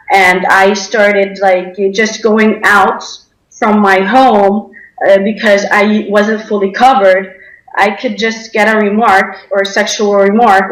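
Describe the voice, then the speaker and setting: A young woman from Denmark tells of personal experience in an interview. Her voice sits at an average pitch and her delivery is hesitant and halting. The room around her is clearly echoey. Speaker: interview guest. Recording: echoey, roomy sound.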